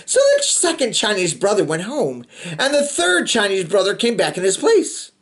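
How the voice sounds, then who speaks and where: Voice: medium pitch, big pitch swings, moderate pace, fairly bright tone. A storybook reader reading aloud to children in a theatrical, playful way with chatty asides.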